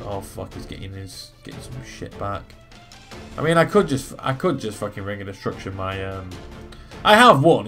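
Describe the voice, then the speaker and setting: A man's voice: medium pitch, a bit bright. A man giving casual let's play commentary, swearing freely and thinking his moves through aloud. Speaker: gaming video host. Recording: game music behind the voice. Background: video game music.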